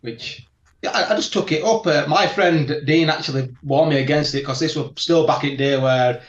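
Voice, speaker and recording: A man from South Yorkshire with a strong Yorkshire accent, chatting as a guest and telling his martial arts story slowly and carefully, often laughing. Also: a little high. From England (Barnsley, South Yorkshire). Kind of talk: casual interview chat. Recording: echoey room, dull lo-fi audio.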